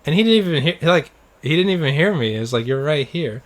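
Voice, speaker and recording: A man's voice: on the high side, lively intonation, brisk. A man co-hosting a podcast in casual, informal chat, telling anecdotes and acting out what people said. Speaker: podcast co-host.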